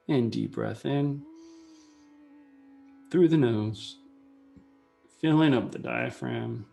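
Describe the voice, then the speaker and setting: A man leading a guided meditation, speaking in short unhurried phrases with pauses between them. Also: mid-range voice, creaky. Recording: soft music behind the voice. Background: meditation music.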